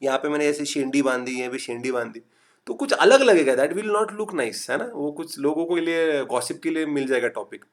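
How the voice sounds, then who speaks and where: A man's voice: a little high, lively intonation. A man from Maharashtra with a slight Marathi accent, teaching a live online class in a chatty style and mixing in English. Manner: chatty, reads out students' typed replies; urges quick answers, mixes English. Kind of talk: online lecture.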